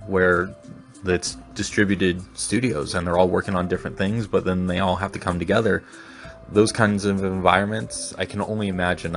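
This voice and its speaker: A man hosting his own solo podcast, talking reflectively and rambling a little with restarts. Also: low voice. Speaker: podcast host.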